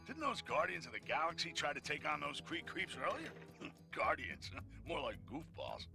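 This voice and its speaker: A man's voice: on the high side, huge pitch swings, moderate pace, gravelly, over music, full character voice. A man playing a chatty, boastful comic narrator in a video game, folksy and cheeky as he addresses the listener. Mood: cheeky, mocking.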